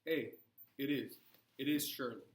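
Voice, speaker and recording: An actor playing a brooding farmer husband in a stage drama, gentle at times and indignant at others. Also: male voice, mid-range voice, moderate pace, close to an everyday voice. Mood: troubled.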